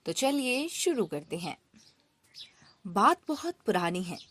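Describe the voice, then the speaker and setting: A woman's voice: mid-range voice, very animated, slow, fairly bright tone. A woman narrating a scripted moral story for children, speaking to them directly and expressively. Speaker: storyteller, host.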